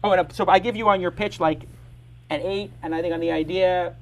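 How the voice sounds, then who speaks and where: High-voiced fast-joking male show host. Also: fairly even intonation, a little hiss or hum, rough recording. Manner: brisk, joking, talks fast in bursts. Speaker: podcast host. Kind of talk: live startup show hosting.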